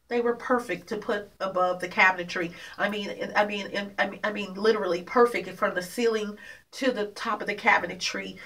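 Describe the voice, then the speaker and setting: A woman's voice: mid-range voice, sharp tone, distant mic. A woman talks casually to her viewers from her apartment, her voice nasal and congested. She halts, restarts and repeats words as she goes. She sits a little back from the camera mic, so the room comes through. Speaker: vlogger. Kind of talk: rambling casual vlog.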